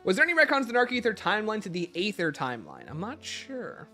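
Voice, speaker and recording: A man's voice: sits high, wildly swooping pitch, fast, bright, forceful tone, faint music behind. A man hosting a livestream, casually reading out questions and answering them with emphatic opinions.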